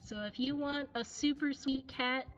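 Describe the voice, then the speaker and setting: High-pitched giggly woman on a stream. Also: unhurried, fairly bright tone. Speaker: cat cafe livestream host. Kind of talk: casual live showcase chat. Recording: dull lo-fi livestream audio. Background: faint music.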